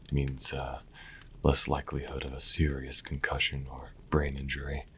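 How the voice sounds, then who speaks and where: A man's voice: rumbling low, completely flat delivery, bright, forceful tone, a little hiss or hum, exaggerated voice. A man playing a sassy vampire and reluctant caretaker in an audio roleplay, speaking dryly and sardonically to the listener. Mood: exasperated. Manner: dry, sardonic, unhurried. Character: sassy male vampire, former thrall, grudging caretaker.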